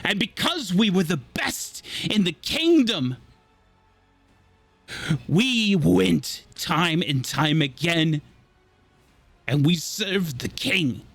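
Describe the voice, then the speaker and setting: Man playing a slow, gravelly, grandiose villain. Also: high-pitched, lively intonation, a bit bright, no echo, faint music behind, exaggerated voice. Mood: grave, embittered. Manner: grandiose, deliberate, impassioned speechifying. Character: bitter, theatrical villain justifying his fall from hero. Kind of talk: dramatic in-character monologue in a tabletop roleplay.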